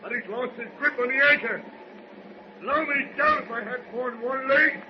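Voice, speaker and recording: An actor playing a loyal, salty ship's mate who hollers orders in a 1940s radio adventure. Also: male voice, extremely high pitch, moderate pace. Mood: alarmed, shouting. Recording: muffled, very noisy old radio recording.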